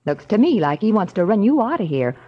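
An actress playing a brash, nosy, wisecracking office secretary with a husky voice in old-time radio drama style. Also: female voice, medium pitch, big pitch swings, brisk. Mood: nosy, pert. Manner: brash, slangy, gossipy. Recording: muffled old radio recording.

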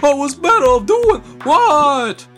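A high-voiced man improvises a comedy dub, drawing out his lines slowly with goofy exaggeration and shouts. Loud music plays behind him. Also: very animated. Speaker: comedic dubber. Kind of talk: improvised comedy dub over game cutscenes.

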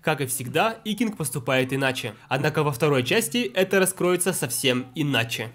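A man's voice: fairly high pitch, lively intonation, a little fast, background noise. A man delivering scripted, analytical narration for a film commentary video.

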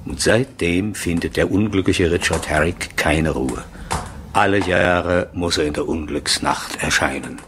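An actor playing a jovial, business-minded aristocratic castle lord in a 1970s radio play, chummy and grandiose with pauses for effect. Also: male voice, sits low, noisy background. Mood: ominous, relishing.

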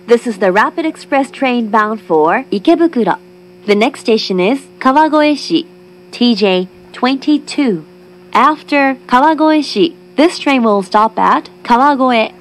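A woman reading formal, clearly phrased scripted train announcements, recorded from a carriage speaker. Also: a little high, animated, slow pace, slight background noise. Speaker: automated English train announcer.

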